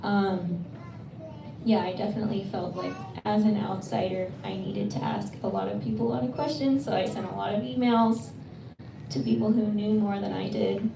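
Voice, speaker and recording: A woman's voice: mid-range voice, fairly even intonation, moderate pace, creaky. A woman translator answering audience questions at a live bookstore reading, speaking earnestly and thoughtfully with frequent restarts. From United States. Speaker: poetry translator. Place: bookstore event space. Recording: roomy, distant, noisy room sound.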